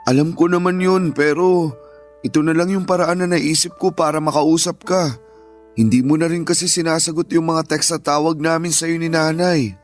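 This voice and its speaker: A man's voice: fairly high pitch, steady tone, normal speed, soft background music, lightly acted. A man acting as a remorseful, desperate ex-boyfriend who pleads insistently in a Filipino radio drama. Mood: apologetic. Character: remorseful, desperate ex-boyfriend begging for another chance.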